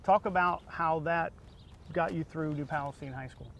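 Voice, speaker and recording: A man's voice: on the high side, moderate pace, a bit bright. An American man speaking as the host of a conversational interview, putting questions to his guest.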